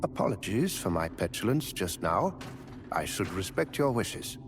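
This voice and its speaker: An actor playing a genteel, devoted manservant in a fantasy game, with formal, courtly, theatrical phrasing. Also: male voice, deep voice, big pitch swings, moderate pace, music in the background. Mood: pained, deferential. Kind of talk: video game character dialogue.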